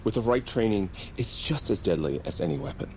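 A man's voice: on the low side, animated, a little fast, a little mellow, slight background noise, lightly acted. An actor playing a brash, cocky, foul-mouthed man who fancies himself an action hero in a comedy audio drama. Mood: grandiose. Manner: brash, swearing, mock-serious. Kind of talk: comedic audio fiction acting.

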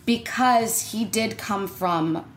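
A woman's voice: medium pitch, slow, a little hiss or hum. Twenty-something woman guest on a chat podcast, middle voice, lots of ums and restarts. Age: 20s. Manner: chatty, hesitant restarts, frequent laughs. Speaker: guest actor and screenwriter. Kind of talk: casual interview chat.

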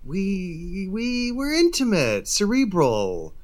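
An actor playing a fretful, highbrow musical writer in an audio comedy, hesitant and anxious. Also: male voice, huge pitch swings, slow, full character voice. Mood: reluctant, uneasy. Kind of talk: comedic audio drama acting.

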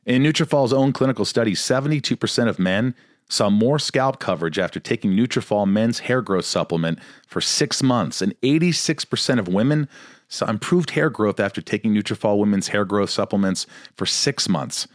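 A man with a fairly low voice reads a sponsor advertisement in a steady, promotional way. The sound is dry and close, with no room around it. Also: moderate pace, dry recording. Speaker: podcast host reading an ad. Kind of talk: host-read advertisement.

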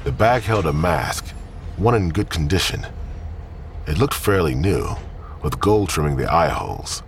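A man narrating scripted crime fiction in a grim, deliberate storytelling manner. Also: low voice, sing-song, a little hiss or hum.

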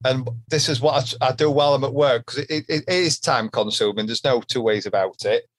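A man's voice: medium pitch, brisk, dry recording. Chatty Yorkshire man, podcast host. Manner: chatty, laughs easily, swears casually. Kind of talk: casual podcast chat.

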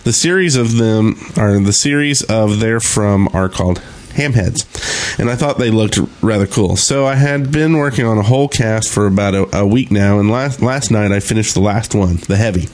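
A man's voice: on the low side, moderate pace, hardly any pauses, darkish tone. A man co-hosting a gaming podcast in a casual, conversational style. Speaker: podcast co-host.

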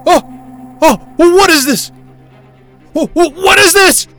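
A man's voice: very high voice, slow pace, pushed and bright, faint music behind, heavily acted. An actor playing a bewildered, angry, foul-mouthed male astrophysicist who stammers his way through a science-fiction audio drama. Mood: panicked. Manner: gasping, stammering, profane.

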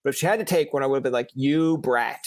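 A man's voice: mid-range voice, quick pace, dry recording, studio quality. A young man from Newfoundland with a Newfoundland accent, interviewing guests in a chatty, storytelling way full of fillers. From Newfoundland, Canada. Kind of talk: casual chat.

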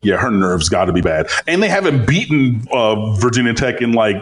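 A man with a low bass voice, a guest on a podcast, telling stories in a rambling, animated way full of fillers. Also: moderate pace. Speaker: podcast guest, site editor. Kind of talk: casual chat.

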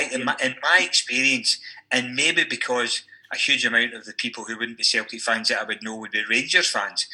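A man's voice: medium pitch, pushed and bright, poor audio. A man, an academic, talking at length in a podcast discussion, with many restarts and asides. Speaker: university academic discussing football history.

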